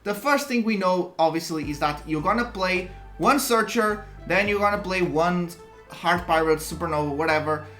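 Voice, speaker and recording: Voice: medium pitch, normal speed, fairly bright tone, soft background music. Chatty Portuguese-accented card game explainer. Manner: chatty, restarts sentences, light chuckles. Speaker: card game content creator. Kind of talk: casual explainer talk to camera.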